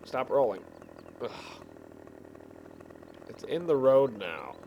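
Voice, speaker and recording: A man rambles through a casual story as he plays a game, in an unhurried, mid-pitched voice. He stops and starts and loses his thread easily. A vehicle engine can be heard running behind him. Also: huge pitch swings, muffled recording. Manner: halting, easily distracted. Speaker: gamer telling stories over gameplay. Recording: clear background vehicle engine noise. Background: game vehicle engine sounds.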